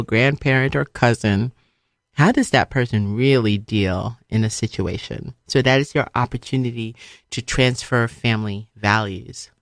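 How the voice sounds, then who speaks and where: A woman's voice: very deep voice, no room sound, studio quality. A middle-aged woman from Liberia giving a motivational radio talk, stressing points emphatically with repeated phrases. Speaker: radio show host, speaker.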